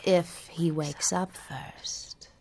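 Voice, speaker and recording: An actress playing a smug, treacherous vampire villainess in a video game, speaking with breathy menace. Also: female voice, fairly low pitch, steady tone, extremely slow, whispered, exaggerated voice. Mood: smug, menacing.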